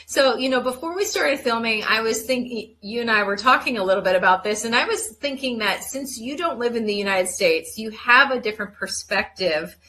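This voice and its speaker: A woman's voice: on the high side, lively intonation, a little fast, few pauses, sharp tone, slight background noise. Chatty enthusiastic woman hosting a show. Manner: enthusiastic, chatty, rambling storytelling. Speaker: host. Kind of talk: hosted interview with guest introduction.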